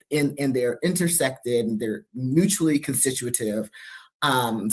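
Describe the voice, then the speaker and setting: A woman from New York City speaking on a university panel, halting and reflective with many fillers. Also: extremely low pitch. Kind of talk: panel talk at a university event.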